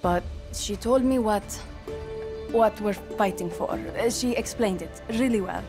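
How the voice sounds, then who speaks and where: A woman acting as a young rebel leader in a game cutscene. She is blunt, loyal and earnest, at a middling pitch, and speaks plainly over prominent music. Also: natural voice. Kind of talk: cinematic video game acting. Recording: loud music underneath.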